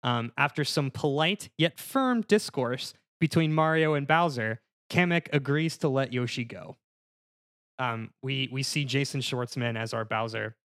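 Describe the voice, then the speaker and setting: A man on a casual panel show pitching a comedic movie scene by scene, in a halting, mock-formal narrating manner. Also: fairly bright tone, dry recording, studio quality.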